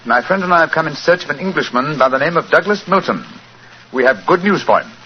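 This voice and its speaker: Man as commanding gentleman sleuth, quick delivery, British accent, formal, old radio play, background hiss. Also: on the high side, lively intonation, close to an everyday voice. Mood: courteous, reassuring. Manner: precise, courteous, formal. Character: brisk, assured gentleman detective, calm and commanding. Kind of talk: 1940s radio acting. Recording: muffled, hissy old radio recording.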